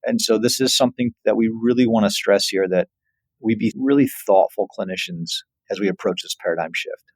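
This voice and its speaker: A man's voice: lowish voice, fairly even intonation, a little fast, dry recording, poor audio. A man, a surgeon, explaining as an interview guest in an emphatic way with examples.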